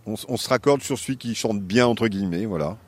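A man on a festival team, speaking haltingly and searching for words. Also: lowish voice. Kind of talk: radio interview.

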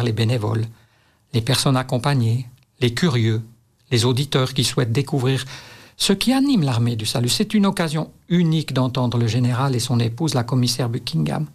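Earnest male radio guest, mid-pitched, inviting tone. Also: a little mellow. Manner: earnest, promotional. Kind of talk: radio interview.

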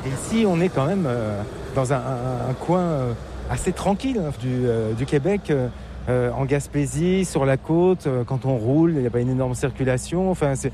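A man from France with a French accent, interviewing casually, curious and joking, often halting mid-sentence. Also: mid-range voice, lively intonation, mellow, slight background noise.

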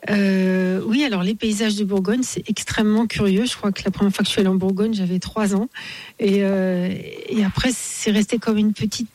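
A woman's voice: mid-range voice, fairly even intonation, moderate pace, a little mellow, dry recording. A middle-aged woman from Paris, a guest in a casual outdoor interview at a building site, talking hesitantly with many fillers and restarts.

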